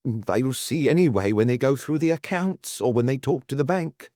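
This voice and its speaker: Guy doing a nervous bookkeeper in an audiobook, pitched up a bit, guarded and careful. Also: expressive, moderate pace, mellow, no room sound, studio quality, lightly acted. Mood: guarded, uneasy. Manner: guarded, hedging answers. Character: nervous, cautious male company bookkeeper. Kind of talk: audiobook character dialogue.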